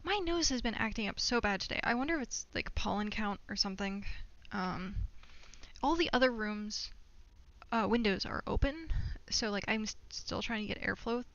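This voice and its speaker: A woman's voice: mid-range voice, lively intonation, normal speed, fairly bright tone, lo-fi sound. A young woman live streamer chatting casually from a room at home, rambling and thinking aloud as she plays. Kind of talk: casual gaming livestream chat.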